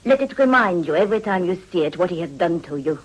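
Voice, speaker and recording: An actress playing a worldly, cynical madam with French affectations, teasing and knowing in a period radio drama. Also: female voice, fairly low pitch, lively intonation, fast, slightly rough, rough recording. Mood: cynical, amused.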